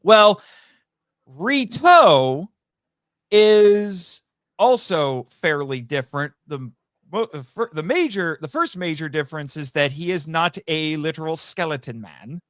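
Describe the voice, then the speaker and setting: A man with a voice a little higher than average speaks in a casual, enthusiastic interview style. He is chatty and explanatory, often doubling back to correct himself. The sound is dry, with very little room echo. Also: expressive, takes it slowly, fairly bright tone. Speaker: podcast guest, voice actor.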